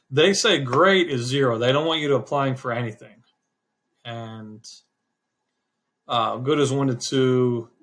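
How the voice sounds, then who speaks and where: Relaxed rambling middle-aged man. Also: mid-range voice. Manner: relaxed, rambling, self-correcting. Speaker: channel host explaining credit scores. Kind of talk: casual screen-share walkthrough.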